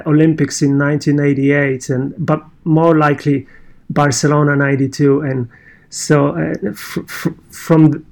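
A man's voice: on the high side, a little mellow. A man from Poland with a Polish accent, telling his own story in casual conversation and often restarting his sentences. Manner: halting, many restarts and fillers.

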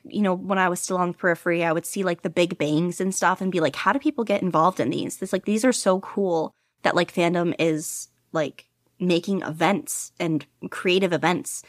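A woman's voice: on the low side, no room sound, clean studio sound. Eager, giggly woman podcast guest. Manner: eager, laughs often, many fillers. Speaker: podcast guest, audiobook reader. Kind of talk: casual interview chat.

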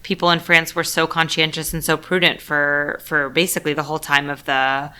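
A woman's voice: deep voice, flat delivery, fairly quick, pushed and bright, clear, resonant tone. A woman hosting a podcast interview in a casual, chatty way, effusive and informal in how she talks. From United States. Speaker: podcast host.

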